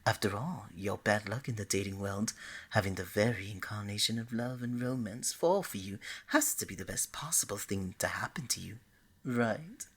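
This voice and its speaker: Casting: woman as a lovestruck deity of romance for ASMR roleplay, very low and breathy, flirty, coaxing, scatterbrained. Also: normal speed, heavily acted. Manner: playful, coaxing, bubbly and hesitant by turns.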